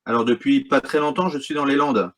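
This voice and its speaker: A man's voice: mid-range voice, brisk, fairly bright tone. A middle-aged man from Brittany, a wildlife photographer, talking casually and hesitantly in an interview, full of fillers and restarts. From Brittany, France.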